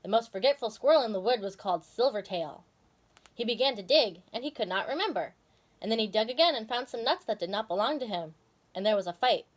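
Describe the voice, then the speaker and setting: Animated, higher-voiced woman storyteller. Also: fairly quick. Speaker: storyteller and video host. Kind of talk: expressive children's story reading with casual asides.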